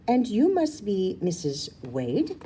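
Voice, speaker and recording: Elegant female spa manager, acted by a woman reader, formal and over-friendly, animated, mellow storybook delivery. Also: medium pitch, takes it slowly, slight background noise, voice pushed up. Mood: polished, gracious.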